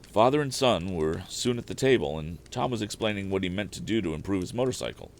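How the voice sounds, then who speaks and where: A man with a very deep voice reads an old adventure novel as a live storyteller. His delivery is expressive, with pitch that moves around a lot, in the manner of an audiobook. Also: a little fast, vocal fry, a little hiss or hum. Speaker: storyteller, live stream host.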